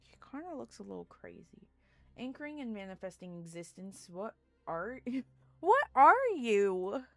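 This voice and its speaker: A woman's voice: medium pitch, big pitch swings, moderate pace, gravelly, a bit bright. A woman game streamer giving casual, slangy playthrough commentary with playful exclamations. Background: game music.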